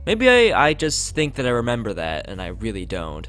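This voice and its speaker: A man voicing a forgetful, quietly odd schoolgirl in a visual novel, with a halting, puzzled, offhand delivery over music. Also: mid-range voice, huge pitch swings. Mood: vague, musing. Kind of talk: visual novel read-aloud.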